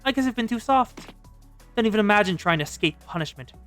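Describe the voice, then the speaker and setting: A woman's voice: medium pitch, animated, fast talker, a bit bright, faint music behind, close to an everyday voice. Woman acting a stern student council girl. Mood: scolding. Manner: reproachful, formal.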